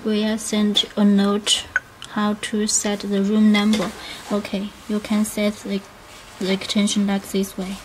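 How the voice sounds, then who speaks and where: A woman demonstrating a product step by step without a script, speaking in short, halting fragments. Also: medium pitch, monotone. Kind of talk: unscripted step-by-step product tutorial. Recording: noisy room with clicks.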